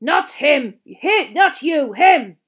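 Woman acting a gruff, growling old wizard professor. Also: sits high, expressive, slow pace, pitched above the performer's own voice. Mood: furious, barking. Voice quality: gravelly growl. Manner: barking, blunt, menacing.

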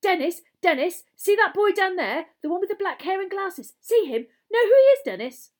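A woman voicing a small, excitable schoolboy in a shrill, eager voice. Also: normal speed. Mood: excited. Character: tiny excitable schoolboy, thrilled and breathless with news.

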